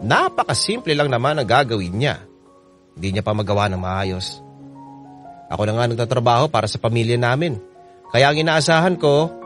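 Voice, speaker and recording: A man's voice: medium pitch, fairly bright tone. A man narrating a troubled letter writer's family story in an earnest, confiding way for a radio drama. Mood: frustrated, resentful. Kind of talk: scripted first-person radio drama narration. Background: background music.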